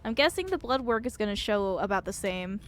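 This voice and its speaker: A woman's voice: mid-range voice, very animated, brisk, faint music behind, close to an everyday voice. A woman voicing a stoic older female doctor from a visual novel, skeptical, dry and matter-of-fact.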